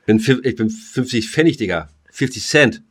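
A man's voice: medium pitch, animated, moderate pace, breathy edge. A man co-hosting a casual podcast, joking in slangy speech, rambling and often interrupting himself. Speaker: podcast co-host.

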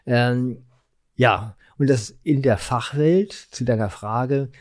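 A man in his sixties talks casually and discursively as a podcast guest. His voice is warm and mellow, his articulation slightly impaired. The recording is dry, without room sound. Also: mid-range voice, slow pace, dry recording. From Germany, Ruhr area (Essen). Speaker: podcast guest, real estate market analyst. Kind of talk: casual interview chat.

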